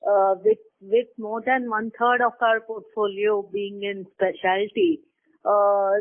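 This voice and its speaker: A tinny phone line carries an Indian-accented woman speaking slowly at a medium pitch. She is an analyst, asking her questions haltingly, piece by piece. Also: little pitch movement. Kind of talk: earnings call questions. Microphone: telephone.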